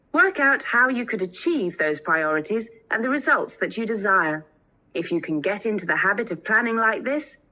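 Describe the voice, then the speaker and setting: A woman delivering a scripted student lecture in an instructional manner, with measured phrasing and clear reading. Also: mid-range voice, bright, forceful tone, low-quality recording. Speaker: lecturer in a listening test recording.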